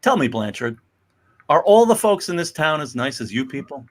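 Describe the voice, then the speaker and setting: A man's voice: a little high, very animated, moderate pace. A man acting a genial middle-aged father and merchant in a dramatic comic reading, hearty and sociable.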